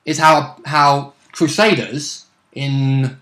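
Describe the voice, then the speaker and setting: A man's voice: mid-range voice, fairly even intonation, very slow, a bit bright. A man co-hosting a casual chat about game rules, explaining them in a matter-of-fact way. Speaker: co-host discussing game rules. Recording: roomy, echoing room sound.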